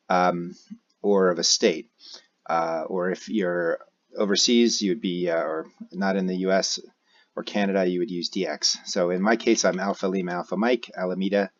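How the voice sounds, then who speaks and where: An American man giving an unscripted software walkthrough, hesitantly thinking aloud as he goes. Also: low voice, dull audio. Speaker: tutorial presenter, amateur radio operator. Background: keyboard typing and mouse clicks.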